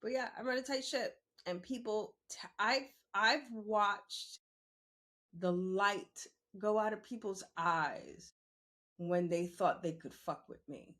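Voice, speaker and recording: A woman's voice: mid-range voice, slow, a bit bright. A woman, talking candidly and emphatically in a conversational monologue, repeating phrases for emphasis. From New York City, born in the Bronx and raised in Queens. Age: 50s. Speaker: podcast host.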